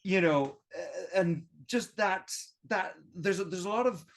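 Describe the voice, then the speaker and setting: A young Irish man chatting on a history podcast, animated and emphatic, thinking aloud as he goes. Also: high voice, measured pace, gravelly. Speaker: guest history video creator. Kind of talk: casual roundtable podcast chat.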